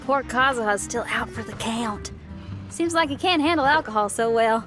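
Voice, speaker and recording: A woman's voice: fairly high pitch, normal speed, natural voice. A woman playing a spirited young female musician in a video game, casual and frank, with music behind. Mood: friendly, surprised. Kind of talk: video game character acting.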